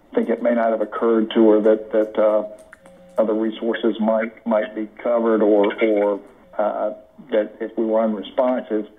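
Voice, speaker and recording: An American man giving a formal committee report in a halting, deliberate way over a conference call. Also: lowish voice. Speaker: committee member presenting recommendations. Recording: muffled conference call audio.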